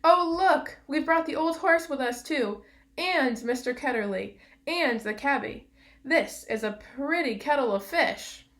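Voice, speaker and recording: A spirited storybook schoolgirl, put on by a woman reader, pitched higher and exclaiming freely. She is frank and outspoken. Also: unhurried, a bit bright. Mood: dismayed surprise.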